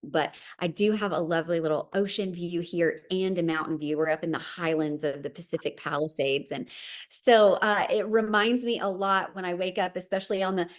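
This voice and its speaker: A woman's voice: fairly low pitch, fairly even intonation, a little fast. A woman from Tennessee with a Southern accent, telling her story as a podcast guest in a chatty, friendly way. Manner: chatty, warm storytelling with fillers. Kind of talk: casual interview chat.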